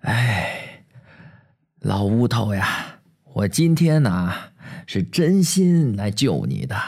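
A man voicing a drunken, boisterous old village chief who teases loudly and cackles. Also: on the low side, sing-song, slow, exaggerated voice. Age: older. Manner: loud, boisterous, teasing, cackling.

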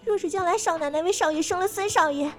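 Casting: female actor for timid pleading maid, period audio drama, extremely high girlish pitch, faltering delivery, music underneath. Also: quick pace. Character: timid, pleading young maidservant, tearful and devoted.

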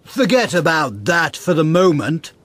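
A man playing an alert, principled gentleman musketeer in a dramatised storybook, with an emphatic, expressive delivery. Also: on the high side, measured pace, full character voice. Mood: urgent.

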